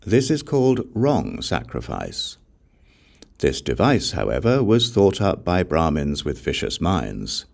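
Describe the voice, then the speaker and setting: A man playing a defiant outcast king in a scripture audiobook. The voice is low and gravelly, swinging widely in pitch through emphatic, rhetorical challenges to pride. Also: wildly swooping pitch, slow pace, darkish tone, heavily acted. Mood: indignant reasoning. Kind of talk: dramatic audiobook reading of scripture.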